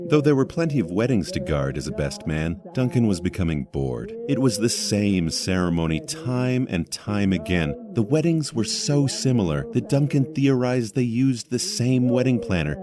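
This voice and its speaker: A man narrating a scripted fantasy story as the storyteller of an audio drama. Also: fairly low pitch.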